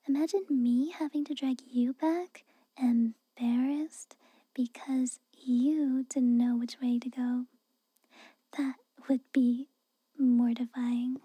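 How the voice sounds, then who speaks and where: A young tsundere castle maid, acted by a woman, whispers close and dry in an ASMR fantasy roleplay. She is haughty and guarded, chiding with reluctant care. Her breathy voice is very mellow and unhurried, with hesitant pauses. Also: sits high, no echo, full character voice.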